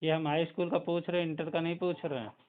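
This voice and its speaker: A man's voice: a little high, level tone, fast, natural voice. A man playing a hesitant, mildly chiding brother-in-law in a phone conversation, hedging and repeating himself with questioning hums. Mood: quizzing, chiding. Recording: tinny narrowband phone-call audio.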